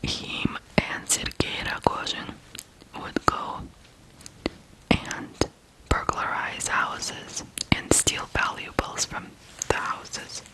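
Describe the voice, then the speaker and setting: A person from Ukraine telling stories in whispered ASMR style, softly and deliberately, pausing between short phrases. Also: low-quality recording. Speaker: ASMR true-crime host.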